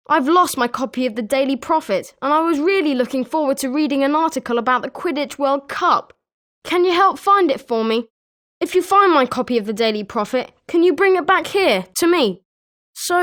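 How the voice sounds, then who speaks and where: An excitable British schoolboy wizard, voiced by a woman, speaks in a high young voice. The pitch swings freely through eager, scripted video game lines. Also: moderate pace. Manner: animated, expressive.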